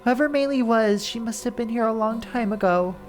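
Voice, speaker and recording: A woman's voice: a little high, fairly quick. A woman game streamer with a very nasal, congested voice, chatting casually and reading game text aloud in the first person. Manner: reads game lines plainly in first person, breaking off to chat. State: congested from a winter cold. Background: faint game music.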